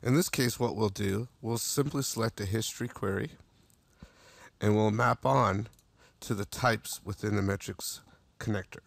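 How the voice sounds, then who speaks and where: Man, low gravelly voice, dry close voice-over, steady step-by-step software demo. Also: moderate pace, dry recording. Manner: steady step-by-step instruction with small restarts. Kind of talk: screencast tutorial narration.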